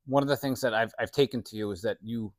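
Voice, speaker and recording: A man in his late forties talks casually as an interview guest. His pitch is middling and the sound is dry and free of echo. He is plain-spoken, friendly and earnest, like a shop owner talking with a regular. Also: fast talker. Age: late 40s. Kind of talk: casual chat.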